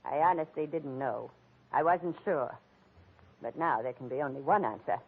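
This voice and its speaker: A woman's voice: rumbling low, normal speed, husky, heavily acted. A woman playing a flustered, scheming middle-aged society matron, stammering theatrically in 1940s radio style. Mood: confessing. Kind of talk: 1940s radio drama acting. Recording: muffled tinny old radio broadcast.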